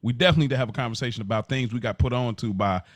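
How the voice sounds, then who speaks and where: A man's voice: mid-range voice, very fast, no room sound. An American man co-hosting a podcast, talking casually and earnestly in informal, slangy language. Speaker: podcast co-host.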